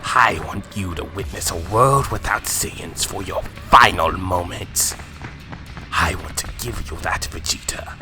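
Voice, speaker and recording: A man's voice: sits low, a little slow, sharp tone, soft background music, full character voice. A man playing a sadistic alien villain in an anime fan drama, taunting and theatrical with menace. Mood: cold, taunting. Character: sadistic alien villain with vengeful grudge, possessing a father's body. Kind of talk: anime fan-fiction voice acting.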